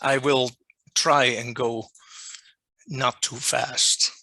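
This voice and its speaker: Dutch male lecturer, non-native English, slow and deliberate, keen bright tone, dry call mic. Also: mid-range voice, flat delivery, no echo. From Netherlands. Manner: deliberate, restates his points. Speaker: journal editor presenting a workshop. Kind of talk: online lecture. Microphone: video call microphone.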